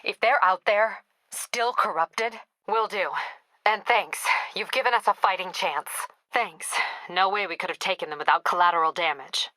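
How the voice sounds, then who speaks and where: Woman acting a bright-voiced, no-nonsense police captain. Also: mid-range voice, moderate pace, no echo, lightly acted, poor audio. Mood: serious, confiding. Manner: clipped, no-nonsense, authoritative. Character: tough, businesslike female police captain, wry and dutiful. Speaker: video game voice actor. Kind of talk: video game dialogue acting.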